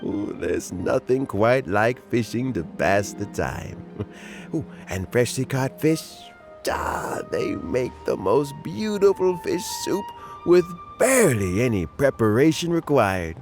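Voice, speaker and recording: An actor playing a gentle, chuckling old man near retirement who loves fishing, in a game dub. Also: medium pitch, big pitch swings, slow pace, over music. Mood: fond. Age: older. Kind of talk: video game dub acting.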